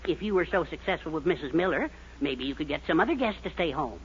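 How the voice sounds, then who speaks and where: A man's voice: fairly high pitch, big pitch swings, quick pace, gravelly, husky, heavily acted. An actor playing a befuddled middle-aged father, hesitant and fussy, in a 1940s radio comedy. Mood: mild, befuddled. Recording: dull old radio recording with hum.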